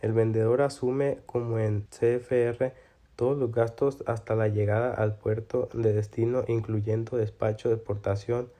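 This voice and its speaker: Guy reading scripted explainer, really monotone, dark soft-edged voice. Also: mid-range voice, moderate pace. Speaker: presenter.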